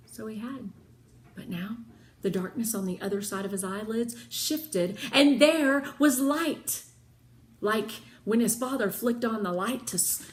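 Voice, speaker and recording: Bright, high-voiced woman reading a children's story. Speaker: read-aloud narrator of children's books. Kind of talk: expressive storybook read-aloud.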